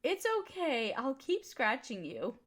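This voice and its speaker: Casting: actress as affectionate, softly dominant female partner for intimate audio roleplay; high, very animated, teasing and comforting. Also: measured pace, a little hiss or hum, exaggerated voice. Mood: flirty, teasing. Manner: flirty, soothing, playfully teasing.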